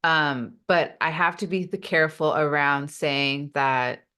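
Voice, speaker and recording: A woman's voice: deep voice, unhurried, bright, forceful tone, clear, resonant tone. A woman who stutters, guest on a podcast, talking openly and reflectively with many fillers and repeated sounds. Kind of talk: casual interview talk.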